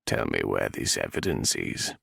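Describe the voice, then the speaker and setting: Guy doing a creepy silky viscount, deep and gravelly, dry sound. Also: very animated, moderate pace, a bit bright, no room sound, exaggerated voice. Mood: silkily menacing. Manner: low, silky, threatening. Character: menacing silky male viscount villain.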